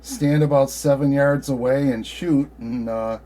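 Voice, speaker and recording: A man with an American accent chatting casually and conversationally, in a somewhat halting way. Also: mid-range voice, fairly even intonation, warm tone. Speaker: amateur radio operator. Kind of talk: casual chat.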